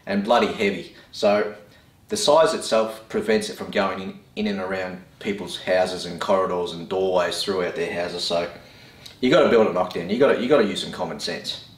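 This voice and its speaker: A man's voice: deep voice, normal speed. A man in his thirties with an Australian accent, casually explaining his woodworking project in plain, laid-back words from a home workshop. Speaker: woodworking vlogger. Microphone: camera mic, speaker a few steps away. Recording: echoey workshop, voice from a distance.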